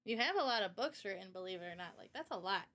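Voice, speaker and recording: A woman's voice: mid-range voice, lively intonation, fast, a bit bright. A woman hosting a casual livestream Q&A as a game developer, talking informally and directly to viewers.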